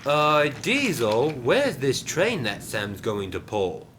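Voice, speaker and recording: A man voicing a dim, hesitant, excitable male diesel sidekick in a children's story. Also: mid-range voice, slow, a little hiss or hum, pitched above the performer's own voice, full character voice. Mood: unsure. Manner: dithering, excitable.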